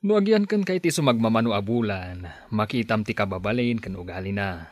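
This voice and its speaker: A man's voice: fairly low pitch, lively intonation, fairly quick, dry recording, natural voice. A man playing a friend who gives advice in a radio drama, speaking in a matter-of-fact way. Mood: advising. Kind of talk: Ilocano radio drama acting.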